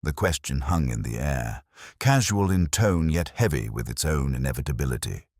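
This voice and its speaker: An extremely low-pitched man narrates detective fiction in the first person. His delivery is measured and brooding, with lively rises and falls in pitch. The recording is dry and close, with very little room sound. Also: dry recording.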